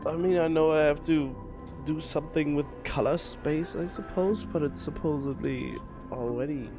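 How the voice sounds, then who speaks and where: A man's voice: on the high side, normal speed, music in the background. A man livestreaming a game, chatting casually and muttering his thoughts aloud to himself. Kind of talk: casual solo stream chat while playing a game.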